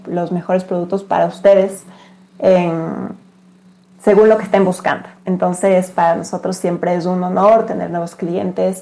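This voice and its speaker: A woman's voice: on the low side, vocal fry, warm tone. A woman speaking as an interview guest for a business, explaining things conversationally and often answering her own questions. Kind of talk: casual explanatory interview talk.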